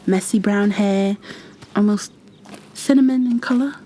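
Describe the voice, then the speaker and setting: Actress as soft, slow-spoken, flustered village bookkeeper woman. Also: mid-range voice, slight background noise, close to an everyday voice, rough recording. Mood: gentle, wry. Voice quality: soft, breathy, often near whisper. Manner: hesitant, apologetic, gently stammering. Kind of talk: ASMR roleplay, addressed to the listener.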